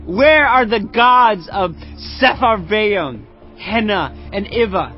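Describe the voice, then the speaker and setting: An actor playing an arrogant Assyrian field commander who taunts a besieged city with loud, mocking declamation. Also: male voice, high voice, animated, takes it slowly. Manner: boastful, mocking, declaiming loudly. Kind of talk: dramatized audio Bible acting. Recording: muffled lo-fi audio under music. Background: cinematic music.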